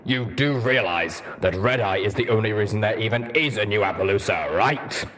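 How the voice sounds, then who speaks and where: A gruff, armored pony soldier from a fantasy story, acted out by a man. He speaks low and stern, with an accusing, demanding temper. He sounds like a hard veteran who cannot believe the fools around him. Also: moderate pace, fairly bright tone, natural voice. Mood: exasperated.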